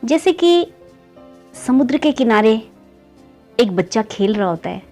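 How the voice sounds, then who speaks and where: A woman's voice: sing-song, measured pace, a little mellow, faint music behind. A woman narrating a moral story in a conversational way, often addressing listeners as friends and lifting her voice at each new turn of the tale. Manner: keeps calling listeners "friends", pitch rising on story beats.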